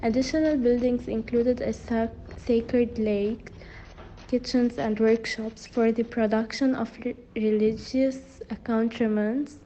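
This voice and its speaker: Higher-pitched woman narrating, mellow tone. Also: fairly even intonation, measured pace, muffled recording, a little hiss or hum. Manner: stumbles over words and repeats them while reading. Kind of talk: scripted documentary narration read aloud.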